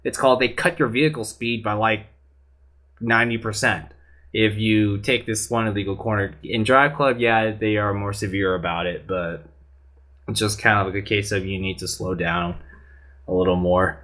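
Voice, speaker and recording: A man's voice: fairly low pitch, steady tone, moderate pace, sharp tone. A man on a gaming podcast panel, talking casually and earnestly, rambling with some restarts. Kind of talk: casual opinionated chat.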